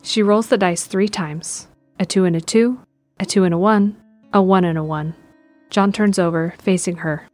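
A woman's voice: mid-range voice, steady tone, moderate pace, darkish tone, faint music behind. A woman narrator reading scripted present-tense narration for an audio drama.

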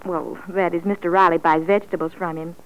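An actress playing a polite, shy young waitress in a radio play. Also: female voice, fairly low pitch, steady tone, fairly quick, natural voice. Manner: polite and demure. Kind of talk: 1940s radio acting. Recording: muffled old radio recording, clear music.

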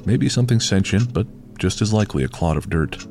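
A man with a very deep voice reads scripted horror fiction as the narrator of an audio drama. Faint music plays beneath him. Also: lively intonation, fairly quick, a little mellow.

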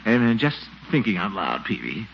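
An actor playing a pompous, excitable middle-aged small-town commissioner in 1940s radio comedy style, given to blustering and muttered asides. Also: male voice, lowish voice, normal speed, creaky. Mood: musing, sorrowful. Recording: dull, noisy 1940s radio recording.

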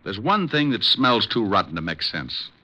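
Sharp, bright-voiced radio private eye. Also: male voice, fairly low pitch, sing-song, exaggerated voice. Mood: urgent, insistent. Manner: brisk, probing, confident. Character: suave, quick-witted male private detective. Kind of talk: 1950s radio drama acting.

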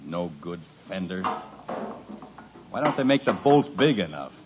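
A wry, exasperated radio dad. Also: male voice, low-pitched, sing-song, a little slow, noisy background. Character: harried suburban father, dry and sarcastic. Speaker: father. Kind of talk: 1950s radio comedy acting. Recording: muffled old radio broadcast.

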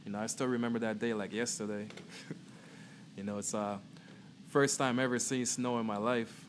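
A man with a Guyanese accent, co-hosting a podcast and telling a relaxed story with frequent "you know" fillers. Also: mid-range voice, moderate pace, slight background noise. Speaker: podcast co-host. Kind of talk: casual storytelling.

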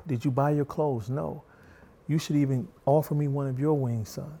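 A middle-aged man, a guest on an interview show, speaking in a soft-spoken, gentle way with a slow, even cadence. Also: mid-range voice, gravelly, husky.

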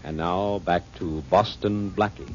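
A man's voice: deep voice, fairly even intonation. A man announcing and narrating in formal 1940s radio style. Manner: measured, formal. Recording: dull old radio broadcast with music.

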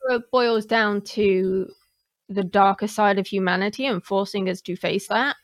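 A woman's voice: mid-range voice, normal speed, dry recording. A woman talking casually as a podcast host, explaining her views in a candid way.

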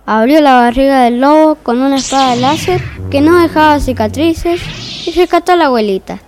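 A woman's voice: high voice, normal speed, darkish tone, lightly acted. A woman playing an exasperated, bossy narrator in a comic fairy-tale audio drama. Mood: storytelling. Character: exasperated, bossy storyteller wrangling unruly characters.

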